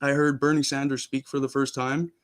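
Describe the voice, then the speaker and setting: A man's voice: mid-range voice, little pitch movement, brisk. A young man, born in Cuba and raised in Miami, talking earnestly as a guest in a casual interview, with frequent restarts. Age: young adult.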